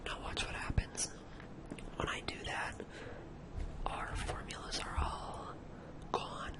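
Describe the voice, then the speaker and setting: An ASMR host who whispers the whole lesson with no real voicing. The delivery is breathy and instructional, walking through software steps one at a time. The whispered tone shifts noticeably up and down from phrase to phrase. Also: very high voice, poor audio. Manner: explains step by step, instructional.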